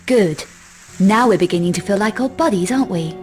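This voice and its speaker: A woman's voice: medium pitch, lively intonation, fairly quick, over music, lightly acted. Cool, sardonic adventuress played by a woman. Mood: cool, pushy. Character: cool, threatening young female adventurer, sardonic and self-assured. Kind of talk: video game cutscene voice acting.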